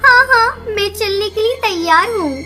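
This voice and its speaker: Young cartoon girl bird, earnest and childlike, extremely high and bright. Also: moderate pace, faint music behind. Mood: eager, pleading. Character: young orphaned girl bird, naive and eager. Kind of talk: Hindi cartoon dialogue.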